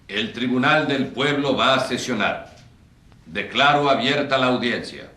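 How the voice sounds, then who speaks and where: An actor as a stern judge in an echoey room. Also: male voice, mid-range voice, a little slow, close to an everyday voice. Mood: solemn. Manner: solemn, authoritative. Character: stern, formal presiding judge of a tribunal. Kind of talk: radio drama acting. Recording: echoey room with clear noise.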